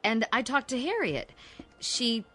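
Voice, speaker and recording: An actress playing a brisk, managing married sister in a radio drama, with a direct manner. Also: female voice, a little high, very animated, bright, forceful tone, heavily acted. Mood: brisk, coaxing.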